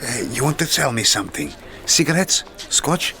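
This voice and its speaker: Gravelly, bright-toned hustler, wheedling and pushy, radio play. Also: male voice, mid-range voice, big pitch swings, moderate pace, a little hiss or hum, exaggerated voice. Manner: wheedling, pestering.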